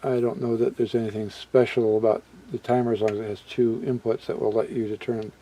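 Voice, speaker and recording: Mellow-voiced man, halting and musing aloud. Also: on the low side, little pitch movement, rough recording. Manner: halting, musing aloud, trailing off mid-thought. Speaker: hobbyist video presenter. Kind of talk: casual unscripted product walkthrough.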